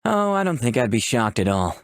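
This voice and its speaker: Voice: medium pitch, sing-song, brisk, no echo. A person playing through a visual novel, reading the protagonist's lines and narration aloud with a playful, flirtatious manner. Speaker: player voicing the protagonist and narration.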